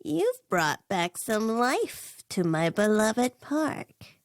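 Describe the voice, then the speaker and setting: Woman putting on a squeaky, drawling male circus ringleader. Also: very animated, no room sound, clean studio sound, heavily acted. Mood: smug, curious. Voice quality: squeaky, helium-like, chipmunk-like. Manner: slow, drawn-out, theatrical, smug. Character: tall sneering male circus ringleader, imperious, squeaky, sinister. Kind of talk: fan fiction audio story character voice.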